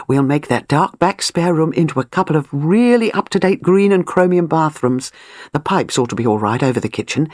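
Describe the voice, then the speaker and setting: A woman's voice: fairly low pitch, a little mellow, no room sound, studio quality. A woman voicing an eager, impulsive young wife, musing in an audiobook.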